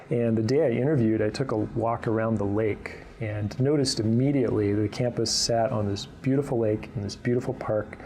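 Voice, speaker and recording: A man's voice: medium pitch, creaky, darkish tone. A man speaking as a college instructor, giving an earnest, informal explanation in an interview.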